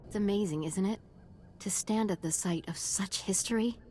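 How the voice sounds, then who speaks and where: A woman's voice: mid-range voice, normal speed, dark tone, a little hiss or hum, close to an everyday voice. A woman playing a solemn, devoted doctor in a video game, speaking in a grave, formal and earnest way.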